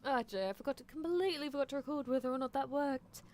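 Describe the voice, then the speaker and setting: A woman's voice: sits high, fast talker, darkish tone. A woman chatting casually as the host of an ASMR livestream. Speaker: ASMR roleplay streamer.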